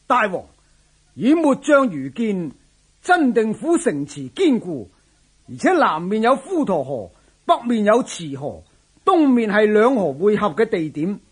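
A man's voice: high voice, very animated, measured pace, breathy edge, full character voice. An actor playing a loyal, shrewd general who addresses his lord formally and earnestly in a historical radio drama. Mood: earnest counsel.

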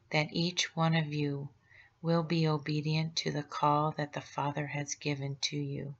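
Brief: woman reading as a sorrowful holy mother, low and even, tender and solemn, dull lo-fi sound. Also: exaggerated voice. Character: gentle grieving mother of Jesus, middle-aged woman. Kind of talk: devotional meditation read aloud.

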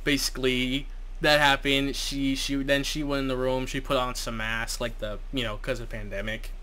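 A young man vlogging in his bedroom, telling a personal story in a casual, halting, rambling way with lots of repetition. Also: medium pitch. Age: young adult. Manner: halting, repetitive, animated when recounting. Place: small bedroom, indoors.

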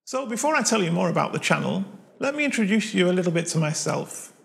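A man's voice: high-pitched, very animated, fast, gravelly, fairly bright tone. A man in his forties from Manchester with a Mancunian accent, chatting to camera about his piano hobby as host of his channel, recorded on a lapel mic in a living room. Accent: Mancunian English. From Manchester, England. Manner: chatty, self-deprecating, friendly storytelling. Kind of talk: casual talk to camera.

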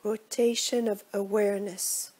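A woman guiding a relaxation meditation in a soft, breathy voice, with measured, hushed delivery and gentle phrase endings. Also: medium pitch, flat delivery. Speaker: yoga nidra meditation guide. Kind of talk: guided relaxation meditation, scripted instruction.

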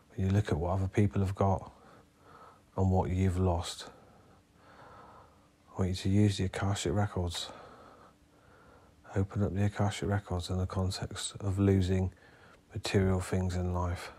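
A man leading a guided hypnotherapy meditation as a therapist, speaking in hushed, instructing, spaced-out phrases. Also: deep voice.

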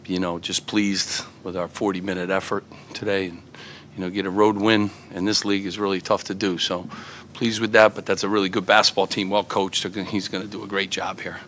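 A middle-aged man answering reporters after a game, his voice a bit low in pitch but bright and forceful. He talks earnestly, with plenty of fillers and restarts, into microphones on a table, and a faint hum of room noise sits under him. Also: level tone, a little fast. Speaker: head basketball coach. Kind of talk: postgame press conference answers. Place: press conference room, seated at a table.

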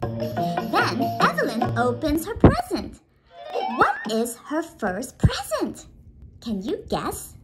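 Very high, animated woman telling children's stories. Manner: animated, exclaiming, coaxing questions with drawn-out words. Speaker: children's storytime teacher. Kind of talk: interactive storytelling for preschoolers. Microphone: phone or tablet video call, close to face. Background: music.

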